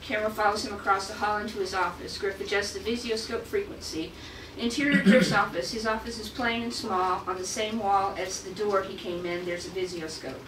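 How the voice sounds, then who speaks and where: A woman's voice: medium pitch, fairly even intonation, fairly quick. A woman reading out screenplay stage directions as narrator at a table read. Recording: echoey room, distant mic, clear noise.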